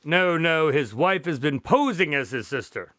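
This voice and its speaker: Radio drama doctor male actor: measured, courteous, higher-pitched, dry close sound, formal storytelling. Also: animated, fairly bright tone, no echo. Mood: matter-of-fact. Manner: formal, precise phrasing.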